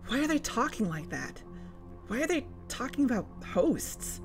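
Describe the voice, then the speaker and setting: Woman playing an anxious, high-voiced investigative podcaster. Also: big pitch swings, normal speed, faint music behind. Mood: puzzled. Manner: questioning, anxious. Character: female investigative podcaster, inquisitive and unsettled. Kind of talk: naturalistic audio drama.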